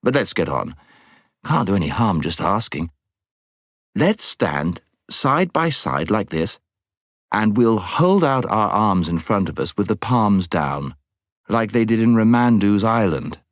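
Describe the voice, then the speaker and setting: A man's voice: on the low side, sing-song, a little slow, no echo, studio quality. A man voicing a solemn, know-it-all schoolboy with an English accent in an audiobook. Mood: earnest, solemn. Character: earnest, slightly bossy English schoolboy. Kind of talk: audiobook character voice.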